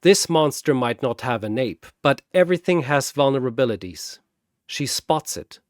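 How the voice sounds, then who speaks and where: A man's voice: medium pitch, animated, moderate pace, dry recording, studio quality. A man narrating a scripted battle story and announcing its fighters in a dramatic style.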